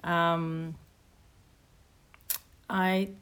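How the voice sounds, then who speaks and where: A woman poet and translator from Kazakhstan, speaking in a measured, hesitant way as she talks and reads. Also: lowish voice, flat delivery, fairly bright tone, smooth. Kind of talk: casual conversation and poetry reading.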